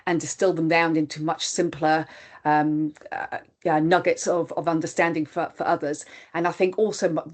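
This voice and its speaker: A woman with a lowish voice whose first language is not English. She talks through her experience in a reflective, candid way, with easy fillers along the way. It sounds like a video call. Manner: reflective, open, with frequent fillers. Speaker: panelist. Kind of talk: webinar panel discussion.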